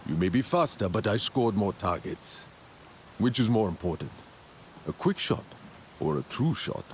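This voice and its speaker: An actor playing an earnest, competitive clan marksman in a game, emphatic and deliberate. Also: on the low side, very animated, a little hiss or hum. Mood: competitive, insistent. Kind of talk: game character acting.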